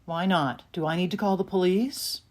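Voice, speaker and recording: A woman's voice: fairly low pitch, moderate pace, a little mellow, natural voice. An actress playing a concerned mother in an audio play, questioning in a probing, worried manner.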